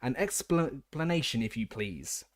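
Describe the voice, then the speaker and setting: A man's voice: medium pitch, big pitch swings, normal speed, no echo, studio quality, voice pushed up, close to an everyday voice. A man voicing a stern, blustering middle-aged wizard official who barks accusations. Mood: stern accusing. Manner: barking, accusing.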